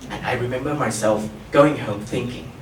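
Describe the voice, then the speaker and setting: A man's voice: mid-range voice, moderate pace, echoey room, distant mic, a little hiss or hum, rough recording. A man from Thailand, telling a personal story on stage with wry, self-mocking humor.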